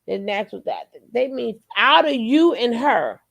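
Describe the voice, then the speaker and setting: Woman host, sassy reactor, high and animated. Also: a little slow, vocal fry. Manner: sassy, laughing asides. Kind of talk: reaction commentary.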